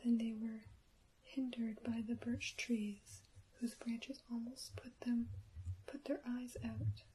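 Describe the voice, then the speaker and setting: A woman reading a bedtime story for ASMR in a soft, breathy, careful whisper. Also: fairly high pitch, little pitch movement, slow, a little hiss or hum, rough recording.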